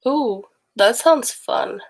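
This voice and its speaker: A woman's voice: on the high side, expressive, extremely slow, creaky, slightly rough. A young woman from Sweden with a mixed Swedish-English accent, chatting casually with viewers on a livestream while sick, rambling and laughing often. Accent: Swedish with mixed Texan, Australian and British bits. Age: young adult. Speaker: livestream host.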